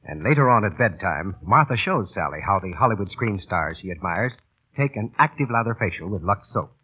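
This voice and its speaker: A man announces in vintage radio advertising style. His delivery swings widely in pitch, and his voice has a husky edge. The sound is dull and aged. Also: expressive, fairly quick. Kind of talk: 1930s radio ad read. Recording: muffled old 1930s radio recording.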